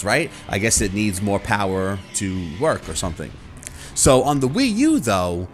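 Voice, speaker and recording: A man hosting a video, explaining things casually and conversationally, thinking aloud and often checking in with the listener. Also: fairly low pitch. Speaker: video host. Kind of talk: casual explanatory talk to viewers about gaming gear.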